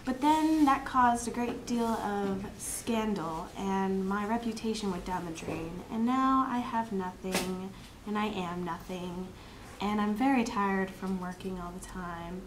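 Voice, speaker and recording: A woman's voice: medium pitch, unhurried, lightly acted. A woman playing a vain, money-hungry socialite fallen on hard times, in an amateur dramatic monologue. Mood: weary, defeated. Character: vain, ruined socialite woman craving money, world-weary. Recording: echoey room, faint background noise.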